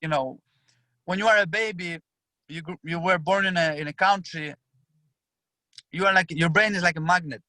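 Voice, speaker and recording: A young man with an Albanian accent, whose first language is Albanian, telling a story as a podcast guest, animated and full of restarts. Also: high-pitched, moderate pace, pauses often, no echo. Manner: animated, acts out his own past lines with frequent restarts. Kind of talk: casual storytelling.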